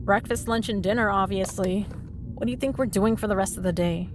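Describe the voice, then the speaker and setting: A cheeky female supervillain played by a voice actress in a comedy audio drama. Her voice sits at a middle pitch, and she teases with breezy, smug sarcasm, entirely at ease as a troublemaker. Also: brisk, over music, lightly acted. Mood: sassy banter. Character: cheeky, smug woman supervillain, frequent hospital patient. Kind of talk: comedic audio roleplay acting.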